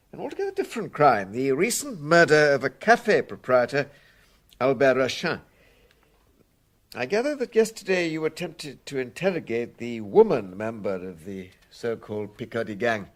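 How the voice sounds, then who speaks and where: A man playing a senior police official in a radio drama, addressing a meeting in a formal, orderly manner. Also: fairly high pitch.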